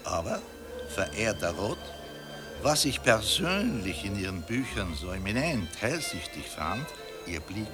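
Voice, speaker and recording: An actor in a German radio play as a pompous, nostalgic Austrian monarchist gentleman, effusive, halting and declamatory. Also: on the low side, very animated, music in the background.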